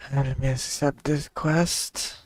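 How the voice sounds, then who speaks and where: A man's voice: medium pitch, steady tone, slow, no echo. A young man giving casual, slangy gameplay commentary in a laid-back way. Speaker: game streamer.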